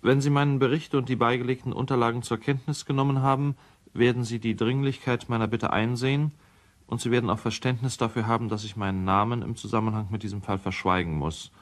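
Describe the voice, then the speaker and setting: Male actor as earnest lawyer in crime radio play, medium pitch, precise, formal delivery. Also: fairly even intonation, fast, close to an everyday voice. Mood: earnest, urgent. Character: earnest male lawyer writing an urgent anonymous letter.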